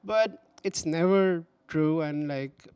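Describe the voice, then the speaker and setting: A man's voice: a little high, takes it slowly, darkish tone, clean tone, no echo. A young man hosting an interview, talking loosely and rambling with lots of "like.". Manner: loose and rambling, heavy use of "like". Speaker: podcast host. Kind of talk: casual interview conversation.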